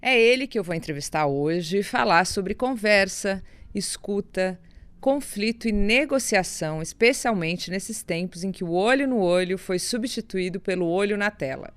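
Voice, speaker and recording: A Brazilian woman with a mid-range voice hosts a podcast. She reads a clear, scripted introduction, then asks her guest questions, hesitating a little as she works out how to phrase them. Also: medium pitch, lively intonation, moderate pace, no room sound. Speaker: podcast host and interviewer.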